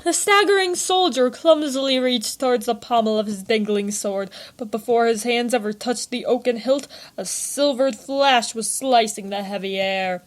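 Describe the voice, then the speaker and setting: A woman's voice: on the high side, normal speed, few pauses. A woman narrating a story aloud in a theatrical way, savouring the florid prose.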